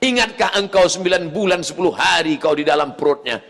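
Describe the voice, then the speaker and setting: A male preacher giving a mosque sermon, swinging from quiet asides to loud shouted appeals. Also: sits high, brisk, gravelly, long reverb tail.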